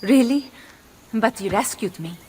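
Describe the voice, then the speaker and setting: An actress playing a loving, tender noblewoman and wife in a video game, soft in manner. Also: a little high, lively intonation, unhurried, a little hiss or hum. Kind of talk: dramatic video game cutscene acting.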